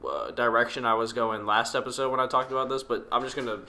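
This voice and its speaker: A man's voice: medium pitch, steady tone, quick pace, pushed and bright, slight background noise. A young man co-hosting a podcast, joking in a dry way in casual chat. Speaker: podcast co-host.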